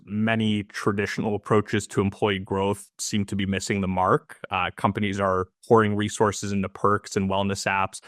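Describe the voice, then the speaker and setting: A man's voice: on the low side, fairly bright tone, dry recording, clean studio sound. A man hosting a panel webinar, talking earnestly and stopping often with "um" and restarts. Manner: earnest, filler-heavy with restarts. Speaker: webinar host.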